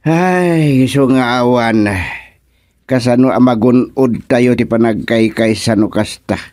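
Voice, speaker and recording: A man playing a slow, musing village man with an Ilocano accent. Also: mid-range voice, darkish tone, dry recording, studio quality, natural voice. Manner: slow, musing, drawn-out talk. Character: bumbling, curious middle-aged village man. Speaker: radio drama actor. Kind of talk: radio comedy drama acting.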